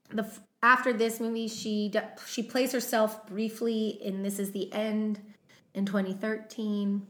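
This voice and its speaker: A woman's voice: mid-range voice, fairly bright tone. A woman co-hosting a film podcast, chatting casually through cast notes with plenty of "um" fillers. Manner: unhurried, many "um" fillers, running through notes. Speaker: podcast co-host. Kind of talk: casual chat about a film's cast.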